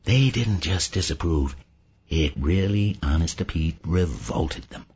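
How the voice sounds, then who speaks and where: A man's voice: sits low, lively intonation, measured pace, a little mellow, husky, dull audio, pitched below the performer's own voice, full character voice. A man playing a naive, prudish Southern American delegate in an audiobook, folksy and earnest. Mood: earnest realization.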